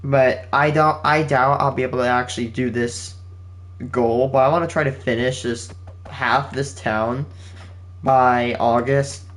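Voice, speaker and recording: A man's voice: medium pitch, steady tone, unhurried, a bit bright. An eighteen-year-old man giving his channel's viewers a rambling, casual update from home, often restarting his sentences. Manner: rambling, with frequent restarts and fillers. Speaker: channel host. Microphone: home setup with game console running nearby.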